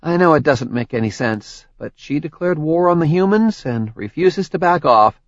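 A male narrator voicing a cheerful, gently teasing young woman with a light, dryly sarcastic manner. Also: a little high, animated, normal speed, dry recording, voice pushed up. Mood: chatty. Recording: dry, slightly dull audiobook recording.

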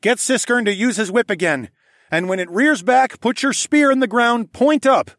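A man's voice: very high-pitched, moderate pace, no echo, clean studio sound, pitched above the performer's own voice. A man voicing a bold young male fighter in a fantasy audiobook, calling out urgently in the thick of battle. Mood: urgent, determined. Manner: urgent, shouting, profane. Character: Alex, hot-headed bold young male fighter shouting plans mid-battle.

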